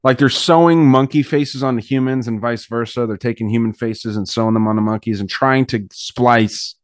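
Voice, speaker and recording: A man's voice: mid-range voice, fairly even intonation, normal speed, dry recording, clean studio sound. A man hosting a podcast in casual chat, talking in a profane, slangy way. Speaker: podcast host.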